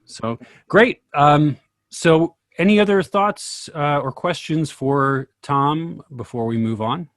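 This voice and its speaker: A man's voice: fairly high pitch, slow pace, no echo. A man hosting a casual discussion on a video call, telling stories in a conversational way. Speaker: host and actor in a screenwriters group. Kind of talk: casual group discussion, storytelling.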